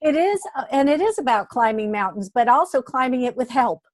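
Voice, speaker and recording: A woman's voice: sits high, expressive, fairly quick, no room sound, studio quality. A woman interviewing a guest, enthusiastic and affirming, often repeating words as she builds her questions.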